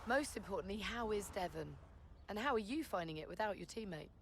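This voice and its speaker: A woman's voice: medium pitch, expressive, brisk, slight background noise, close to an everyday voice. An actress playing a poised, keen woman motorsport presenter, polished and conversational as she interviews and commentates in a game. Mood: inquisitive.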